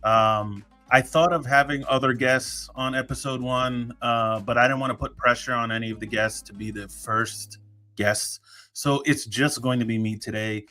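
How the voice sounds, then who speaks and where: A man talks through a solo podcast episode in a loose, joking way, stopping and restarting often. His voice is bright and forceful, at a middle pitch. Faint music sits under him. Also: fairly even intonation, normal speed. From United States. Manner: chatty, joking, many fillers and restarts. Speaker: podcast host. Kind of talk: casual solo podcast talk.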